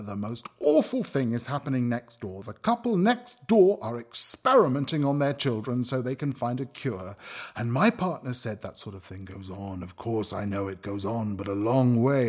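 An actor playing an anguished man haunted by his memories in an audio drama monologue, halting and dramatic, often correcting himself. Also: mid-range voice, expressive, darkish tone, slightly rough. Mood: uneasy, searching. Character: anguished man recalling witnessed child experiments.

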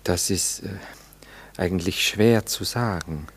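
An actor playing an idle, flirtatious gentleman with a Viennese accent in a radio drama, gallant and languidly ironic. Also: low-pitched, slow, husky, exaggerated voice. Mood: sheepish, playful. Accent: Austrian, Viennese. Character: idle, flirtatious Viennese gentleman bachelor, charming and self-pitying. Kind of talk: German radio drama dialogue.

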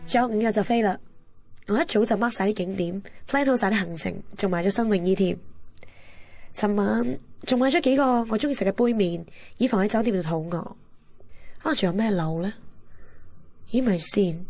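A woman's voice: medium pitch, darkish tone, no room sound. A woman with a Hong Kong Cantonese accent playing a wistful, candid young heroine who narrates her romance in a radio drama. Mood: upbeat. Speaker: narrator and lead character. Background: music.

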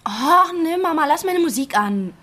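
An actress playing a sulky, defiant, easily tearful teenage daughter in a naturalistic radio crime drama, whiny and emotional. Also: female voice, high voice, animated, moderate pace, bright, forceful tone, soft background music. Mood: defiant, annoyed.

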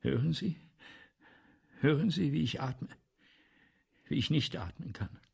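Obsessive older theatre man, raspy n croaky, very up and down, keeps repeating himself, german radio play. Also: a little high, expressive, unhurried, full character voice. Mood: anguished. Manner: insistent, repeats phrases, swings from hush to declamation. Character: ageing obsessive theatre man, excitable, tormented storyteller in a cowl.

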